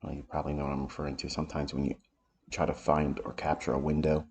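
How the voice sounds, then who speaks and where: Very deep-voiced man, raspy today. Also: monotone, darkish tone. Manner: unhurried, thinking aloud while explaining charts. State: raspy from allergies. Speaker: trading educator. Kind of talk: live market commentary stream. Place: home office.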